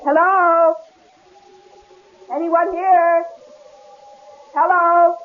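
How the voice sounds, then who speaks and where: An actress with an American accent playing a headstrong, independent wife in a 1970s radio drama, expressive and quick to stammer when rattled. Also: female voice, extremely high pitch, exaggerated voice. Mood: bold, curious. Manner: bold, stammers when frightened. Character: headstrong, independent wife, skier, snowed in with husband. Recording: tinny, lightly noisy old radio broadcast.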